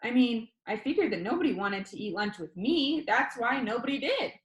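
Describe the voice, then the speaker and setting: A woman reading a children's novel aloud as expressive first-person audiobook narration. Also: on the high side, normal speed, echoey room, muffled recording. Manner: expressive read-aloud storytelling.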